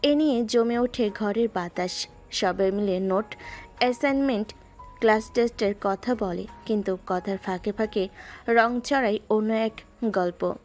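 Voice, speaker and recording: A woman narrating a romantic audio story in a measured, lyrical way. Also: mid-range voice, a little mellow, soft background music.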